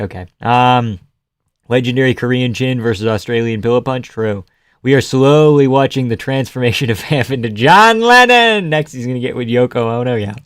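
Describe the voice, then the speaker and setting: A man's voice: medium pitch, clean tone, dry recording, clean studio sound. A young man hosting a live stream, chatting casually and sarcastically while reading viewer comments. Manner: sarcastic, offhand, swears and laughs often.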